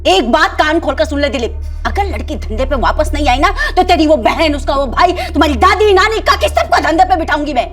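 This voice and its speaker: A high-pitched, commanding madam from a television crime drama. She threatens and orders people about imperiously, ruthless throughout. Faint music plays behind her. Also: expressive, brisk, hardly any pauses. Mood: menacing. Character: ruthless female brothel madam, commanding and menacing. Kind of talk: Hindi TV crime drama acting.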